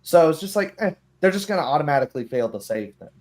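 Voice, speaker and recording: A man's voice: a little high, animated, racing, darkish tone. A man chatting casually about tabletop game rules in an explanatory way, sometimes voicing short hypothetical remarks.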